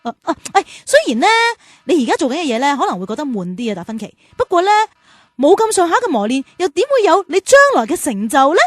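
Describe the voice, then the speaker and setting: Big-sister guide for children's radio play: very high voice, caring, playful teasing, encouraging. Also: female voice, very animated, fast, no echo. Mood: gentle. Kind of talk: children's radio drama acting.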